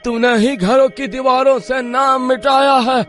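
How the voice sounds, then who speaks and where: A man's voice: very high-pitched, fairly even intonation, measured pace, fairly bright tone, soft background music. A man playing panicky, cursing villagers in a horror story, exclaiming melodramatically. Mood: alarmed scolding. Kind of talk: exaggerated Hindi story character acting.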